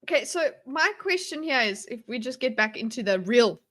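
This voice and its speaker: A woman with a very high, bright voice banters playfully on a livestream panel. Her intonation is lively and swings widely as she chats. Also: moderate pace.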